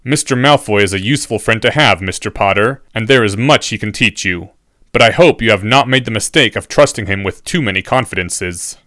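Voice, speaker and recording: A man's voice: lowish voice, brisk, pushed and bright, dry recording, clean studio sound. A man voicing a menacing, sardonic professor in an audiobook story, formal and biting in his speech. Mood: dry, amused.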